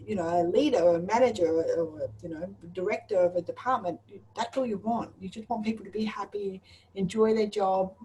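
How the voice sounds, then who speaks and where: A woman's voice: mid-range voice, fairly quick, mellow, a little hiss or hum, low-quality recording. A woman chatting casually, with a direct, jokey and sometimes sweary way of talking. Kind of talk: casual conversational chat.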